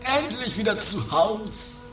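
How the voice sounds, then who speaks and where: A man's voice: sits high, animated, slow, sharp tone, heavily acted. A man playing a forgetful, meek, henpecked husband, hesitant and affected, in an amateur comedy radio play. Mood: musing. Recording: roomy distant 1980s home recording, music behind.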